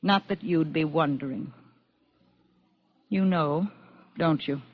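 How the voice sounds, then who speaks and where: A woman's voice: sits low, takes it slowly. An actress playing a bitter, defiant woman in a radio drama, speaking in a pointed, goading way. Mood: accusing, cutting. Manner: pointed, needling, probing. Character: bitter, goading, defiant sister of a condemned man. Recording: dull band-limited radio drama recording.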